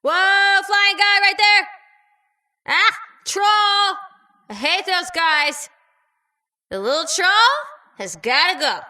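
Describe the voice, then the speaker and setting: High, goofy, excitable little robot. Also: female voice, takes it slowly, pauses often, exaggerated voice. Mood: alarmed, eager. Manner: silly babbling, stammering, exclamatory. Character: excitable, goofy, childlike malfunctioning female robot. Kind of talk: video game character voicelines.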